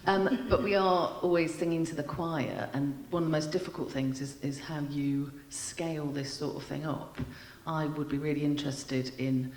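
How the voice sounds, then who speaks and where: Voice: medium pitch, steady tone, moderate pace, hardly any pauses. A British person in the audience asking an articulate, thoughtful question. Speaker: audience member. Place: large hall. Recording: echoey hall.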